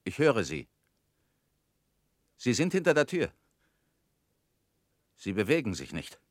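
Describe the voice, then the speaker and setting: A man's voice: medium pitch, huge pitch swings, slow, no room sound, clean studio sound. An actor in a radio play playing a fearful, suspicious man alone at home, speaking in insistent, clipped sentences. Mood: agitated demanding. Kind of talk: German radio play acting.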